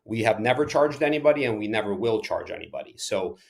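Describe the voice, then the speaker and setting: Ukrainian-born guy, lowish voice, punchy interview answers, speeds up n slows down a lot. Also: lively intonation, creaky. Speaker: soccer club general manager and head coach.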